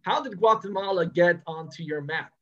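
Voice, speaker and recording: A man's voice: high-pitched, normal speed, pushed and bright, muffled recording. A man hosting a podcast, asking questions in an upbeat, encouraging way. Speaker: podcast host. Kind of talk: podcast interview.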